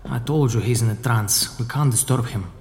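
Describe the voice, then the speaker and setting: A man's voice: mid-range voice, normal speed, darkish tone, long reverb tail, a little hiss or hum, natural voice. A man acting in an audio drama as a secretive prison guide with the keys, matter-of-fact, curt and wry. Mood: wry, guarded.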